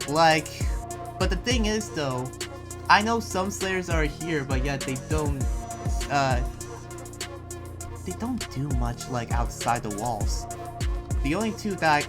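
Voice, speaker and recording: A man playing an earnest, protective young town heir in an improvised anime game roleplay, talking in a halting, conversational way. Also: on the high side. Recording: background music under the voice.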